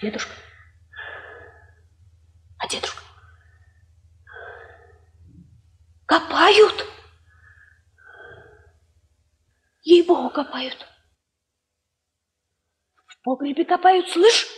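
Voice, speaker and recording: A very high, animated little girl's voice from a Soviet radio play. She is a peasant child, scared and curious, pleading naively with every question. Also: slow, pauses often, heavily acted. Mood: fearful. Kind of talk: Soviet radio drama acting.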